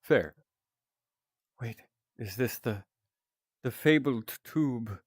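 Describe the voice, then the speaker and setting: A man with a deep, announcer-like voice, chatting casually with viewers while he plays a game. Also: drawn-out words, no room sound, clean studio sound. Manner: relaxed, rambling, chatting to viewers. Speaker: streamer.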